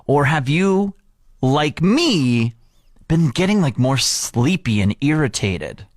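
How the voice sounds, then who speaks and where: A man's voice: fairly high pitch, takes it slowly, dry recording, clean studio sound. A man in his thirties co-hosting a morning radio show, talking casually and rambling with plenty of laughs. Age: 30s. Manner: lively, rambling, laughs a lot. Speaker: radio co-host.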